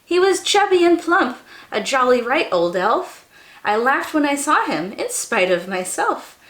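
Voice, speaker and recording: Animated high-pitched woman reading to children. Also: a little slow, echoey room. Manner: animated, gasping, cooing asides to young listeners. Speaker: children's storytime host and teacher. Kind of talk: expressive read-aloud of a children's rhyming story.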